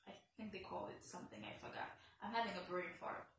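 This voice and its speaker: A woman's voice: fairly low pitch, very animated, fairly quick, a bit bright, a little hiss or hum. A young woman with a Haitian accent, from Haiti, chatting playfully and teasing herself in a casual vlog. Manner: playful, teasing, self-mocking asides. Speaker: vlogger. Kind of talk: casual get-to-know-me vlog. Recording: very echoey room, a bit far from the mic.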